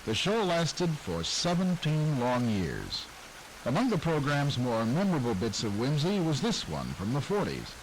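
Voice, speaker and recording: Animated American male narrator with rain behind. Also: mid-range voice, measured pace. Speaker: documentary narrator. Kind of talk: scripted narration. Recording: rain noise over old broadcast audio. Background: steady rain.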